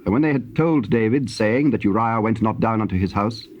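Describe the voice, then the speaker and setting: A man with a British accent narrating scripture in a solemn, measured storytelling style. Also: on the low side. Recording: dull, hissy old cassette tape.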